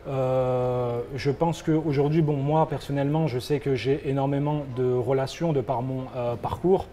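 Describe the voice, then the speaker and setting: A man's voice: mid-range voice, fairly even intonation, a little slow, mellow. A young man from France answering interview questions, hesitant and full of fillers. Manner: hesitant, many fillers and restarts. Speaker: guest, founder of a football agency.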